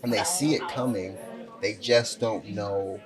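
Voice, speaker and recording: A man hosting a casual podcast, talking in an upbeat, animated way and laughing easily. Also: lowish voice, unhurried, a crowd in the background. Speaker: podcast host. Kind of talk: casual conversation.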